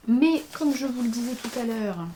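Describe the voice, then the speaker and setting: A woman's voice: on the high side, moderate pace, dark tone, slight background noise. A tired woman in her thirties chatting casually for her crafting podcast, hesitant with many fillers while showing her work to the camera. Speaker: knitting pattern designer and podcaster. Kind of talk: casual video podcast chat.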